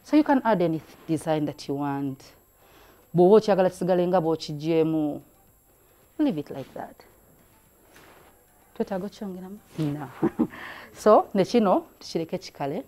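A woman's voice: fairly low pitch, lively intonation, moderate pace, pauses often, mellow. A middle-aged woman hosting a hands-on craft demonstration indoors, chatty and encouraging, switching between languages as she works. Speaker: craft show host. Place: indoor room at a craft table.

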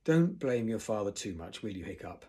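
Man voicing a gentle, sad old Viking. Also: fairly low pitch, big pitch swings, normal speed, slightly rough.

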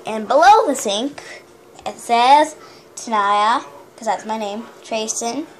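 A young woman giving a rambling, giggly tour of her home, filmed on a handheld phone in a small house. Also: on the high side. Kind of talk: casual home tour narration. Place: small house, kitchen and bathroom. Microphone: handheld phone camera. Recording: noisy home phone video.

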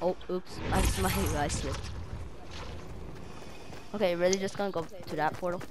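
A woman's voice: lowish voice, steady tone, moderate pace, heavy background noise. A woman chatting casually as a player in an improvised game roleplay.

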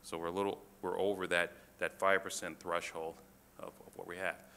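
A man presenting to a city council as a fire department official, halting, with many fillers and restarts. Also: deep voice, flat delivery, gravelly, bright, forceful tone. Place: council chamber. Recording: reverberant chamber, faint room noise.

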